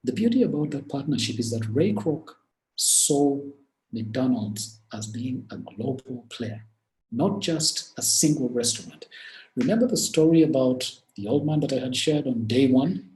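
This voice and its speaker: A man with a Ugandan accent gives a webinar talk and walks his listeners through business stories. His delivery is earnest and lively, and his pitch sits in the middle range. The room around him sounds echoey. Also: unhurried. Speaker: webinar speaker.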